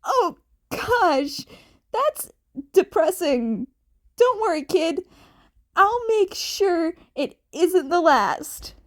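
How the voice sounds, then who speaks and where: A woman's voice: very high-pitched, sing-song, extremely slow, pitched above the performer's own voice, heavily acted. A woman voicing an affectionate, upbeat, sincere man acting as a father figure in a fan fiction audiobook. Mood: playful, caring.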